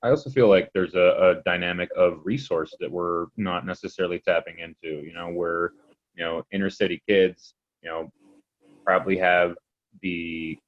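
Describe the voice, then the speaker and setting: A man in his thirties speaks in a very deep voice over a group video call. His audio comes through dull and lo-fi, and he talks loosely with many "you know"s. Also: steady tone, moderate pace. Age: 30s. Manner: casual, repeats "you know", swears.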